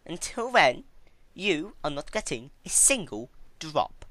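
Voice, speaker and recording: A man's voice: on the high side, big pitch swings, slow, pushed and bright, pitched above the performer's own voice, exaggerated voice. A man voicing a cruel female pony villain who taunts with mock sweetness in a fan-fiction story. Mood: taunting.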